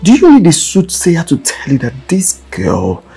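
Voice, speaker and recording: An actor playing a blunt young friend lecturing in Nigerian Pidgin, with a Nigerian accent. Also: male voice, a little high, wildly swooping pitch, normal speed, dark tone, breathy edge, faint music behind, heavily acted. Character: blunt young male friend giving hard advice.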